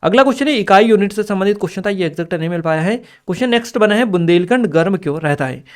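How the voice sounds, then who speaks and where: A man's voice: high-pitched, animated, racing. A man giving an instructional exam review, reading out questions and answers and listing points repetitively with small check-in tags. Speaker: exam coaching teacher.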